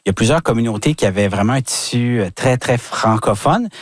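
Man with halting, filler-heavy talk. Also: medium pitch, lively intonation, no echo, studio quality. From New Brunswick, Canada. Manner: halting, many fillers and restarts. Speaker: regional guest commentator. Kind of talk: radio interview chat.

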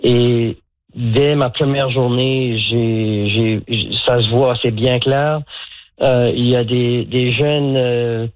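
Guest: man in his 60s from Moncton, halting and filler-heavy, heard over a thin, dry phone line. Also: medium pitch, fairly even intonation, dry recording. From Moncton, Canada. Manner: hesitant, many fillers and restarts. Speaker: guest, pickleball coach. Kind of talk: radio phone interview.

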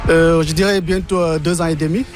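A man from Senegal with a Senegalese French accent, telling his story in a relaxed, hesitant way. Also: a little high, fairly quick, over music. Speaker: interviewee.

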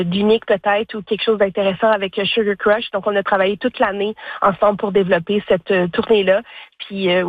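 A woman's voice: medium pitch, dry recording, phone-quality sound. A woman with a Franco-Ontarian accent talking casually and effusively as an interview guest, telling things in an informal, anecdotal way. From Ontario, Canada. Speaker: singer-songwriter, interview guest. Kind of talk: casual, candid interview talk.

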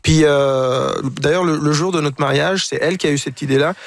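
A man, a guest on a talk show, speaking reflectively and haltingly, often repeating words as he searches for them. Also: fairly high pitch, dry recording, studio quality. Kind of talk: reflective conversation.

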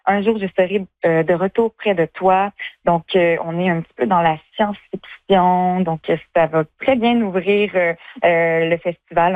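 Female interviewee, Quebec accent, slightly low pitch, casual spoken answers, narrow telephone-style sound. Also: dry recording. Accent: Quebec French. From Quebec, Canada. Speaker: festival artistic director. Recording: tinny phone-like line.

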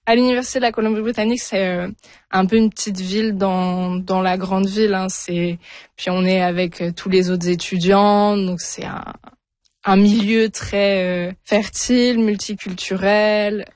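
A woman's voice: mid-range voice, steady tone, normal speed, darkish tone, no echo. A young woman from France answering interview questions casually, hesitating often with fillers and restarts. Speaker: interview guest, new PhD in physics.

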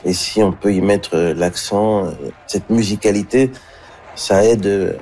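Man, comedian, low voice, hesitant, reflective interview. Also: fairly even intonation, warm tone. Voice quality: hesitant, many pauses.

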